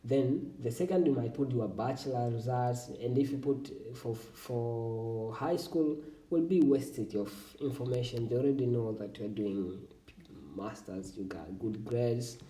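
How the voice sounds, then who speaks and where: A man from Tanzania whose first language is Swahili, hosting a walkthrough of a scholarship application form in halting, self-correcting English. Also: medium pitch, dark tone, echoey room, far from the mic, poor audio. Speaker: scholarship tutorial host. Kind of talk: screen-recording walkthrough.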